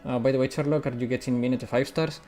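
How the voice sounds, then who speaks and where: A man from Italy streams a game live, his English accent a blend of British and American. He chats casually and answers viewers' questions between moves, in a mid-pitched voice with faint game music behind him. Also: fairly even intonation, fast talker, warm tone. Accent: mixed British and American English. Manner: chatty, answers chat while playing. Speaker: gaming streamer.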